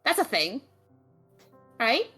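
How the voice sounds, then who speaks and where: A woman with a high, animated voice plays a tabletop role-playing game, improvising her part. She stumbles and restarts as she goes, with music playing underneath. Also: husky. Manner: halting, stammering. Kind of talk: improvised character acting and casual play.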